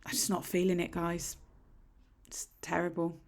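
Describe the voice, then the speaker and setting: A middle-aged woman with a husky, slightly croaky voice, chatting casually through a nail tutorial in a rambling, self-deprecating way. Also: fairly low pitch, completely flat delivery, moderate pace. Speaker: nail technician. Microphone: desk microphone.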